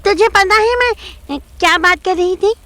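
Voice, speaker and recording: A woman's voice: very high-pitched, measured pace, bright, forceful tone, dry recording, exaggerated voice. A woman playing a gossipy, suspicious middle-aged mother in an audio drama, colloquial and scolding. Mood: breezy, covering up. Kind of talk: Hindi audio drama acting.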